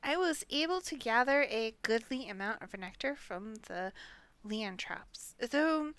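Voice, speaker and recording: A woman's voice: high-pitched, a bit bright, no echo, voice pushed up, heavily acted. A woman voicing an earnest, polite and impatient young nobleman from a fantasy game, with formal, precise wording.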